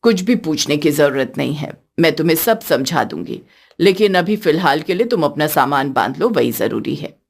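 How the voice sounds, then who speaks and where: A woman's voice: deep voice, expressive, normal speed, vocal fry, husky, pitched below the performer's own voice, exaggerated voice. A woman voicing a stern, weary older mother-in-law in a cartoon story, firm and admonishing. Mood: commanding. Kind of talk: Hindi cartoon story acting.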